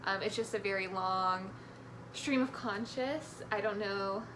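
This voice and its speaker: A woman's voice: medium pitch, unhurried. A young woman chatting casually about books as a guest, giving her opinions a little tentatively. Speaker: guest, friend of the vlogger. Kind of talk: casual book chat. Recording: clearly noisy room recording.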